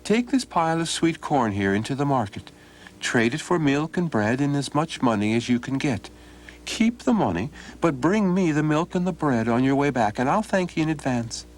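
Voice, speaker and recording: A man's voice: a little high, big pitch swings, normal speed, pitched above the performer's own voice. A man voicing a clever, cheeky young brother with a jaunty folksy manner.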